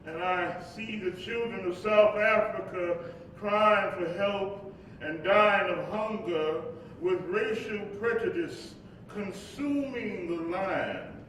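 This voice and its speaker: A man's voice: slow, a bit bright. Man as reflective preacher's spirit, very echoey stage. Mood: solemn. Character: reflective spirit of a civil rights preacher narrating his life. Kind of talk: theatrical first-person monologue in a staged reading. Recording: distant echoey hall recording, faint rumbling noise.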